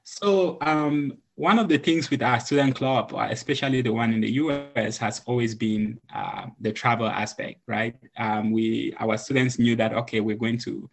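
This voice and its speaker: A man's voice: medium pitch, fairly even intonation, normal speed, gravelly, hardly any pauses. A man from Cameroon, a podcast guest, telling his story earnestly with many filler ums. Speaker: podcast guest, nonprofit founder. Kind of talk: conversational storytelling.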